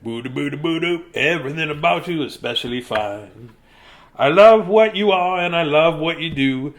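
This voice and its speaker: Man, fairly high-pitched, bedtime story reader, casual, silly and playful. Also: animated, moderate pace. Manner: silly, playful, with singsong scatting.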